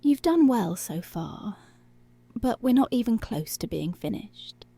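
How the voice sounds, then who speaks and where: A woman acts out a dominant policewoman in an ASMR roleplay. Her voice is breathy and soft-edged with a crackle of fry, often slipping into whispers. She speaks slowly and teasingly, very close and dry on the microphone, always in control. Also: fairly high pitch, big pitch swings, no room sound, exaggerated voice. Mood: intense, seductive. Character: dominant, commanding, seductive policewoman.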